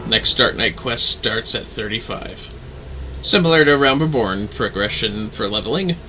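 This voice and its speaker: A man's voice: fairly low pitch, animated, moderate pace, over music, rough recording. A man casually chatting as he streams a fantasy online game, musing playfully to himself. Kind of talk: casual gameplay livestream chat.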